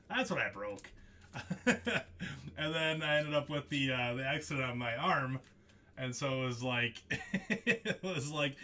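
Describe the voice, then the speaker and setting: A man's voice: mid-range voice, pushed and bright. A young man hosting a live trading-card break stream, chatting casually with viewers by name, laughing often as he reads out the cards he pulls.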